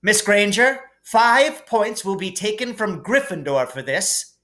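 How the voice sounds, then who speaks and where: A man's voice: high-pitched, sing-song, measured pace, sharp tone, voice pushed up, heavily acted. A man voicing a stern, coldly angry older woman professor in a storybook reading, clipped and scolding.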